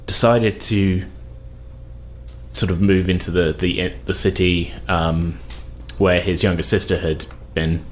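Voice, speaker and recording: Male tabletop player, lowish voice, loose rambling delivery with stops and starts, faint noise behind him. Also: fairly even intonation, slow, poor audio. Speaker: player in a tabletop role-playing game. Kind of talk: casual improvised storytelling at a game table.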